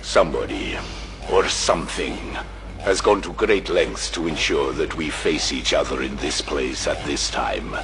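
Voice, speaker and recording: A hulking Chaos warrior with an extremely deep voice, speaking slowly and with taunting menace. His gravelly, sardonic delivery belongs to a dark audio drama, with prominent music underneath. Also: lively intonation, full character voice. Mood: brooding threat.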